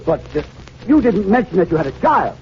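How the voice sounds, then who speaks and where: A man's voice: high voice, big pitch swings, a little fast. A man playing a prim, officious hotel clerk in a 1940s radio comedy. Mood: prim, apologetic. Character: fussy, officious male hotel clerk, primly refusing. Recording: muffled 1940s radio, audience noise behind.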